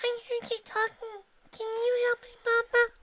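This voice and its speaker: A woman's voice: very high-pitched, monotone, normal speed, lo-fi sound, background noise, voice pushed up. A woman voicing a tiny innocent filly, a curious, childlike little pony who pleads in simple questions. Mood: hopeful, pleading.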